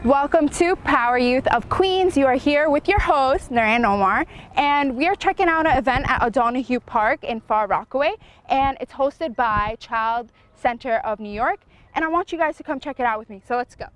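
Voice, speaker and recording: A woman's voice: high-pitched, normal speed, soft background music. A young woman hosting a youth show, asking her guests upbeat, curious questions. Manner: upbeat and inquisitive. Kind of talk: interviewing guests.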